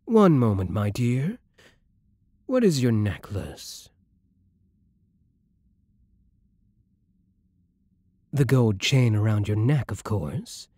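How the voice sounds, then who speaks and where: A man's voice: lowish voice, big pitch swings, takes it slowly, mellow, no room sound, full character voice. A man playing a suave, sadistic vampire lord in an intimate ASMR roleplay, courtly, teasing and menacingly polite. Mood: condescending, probing.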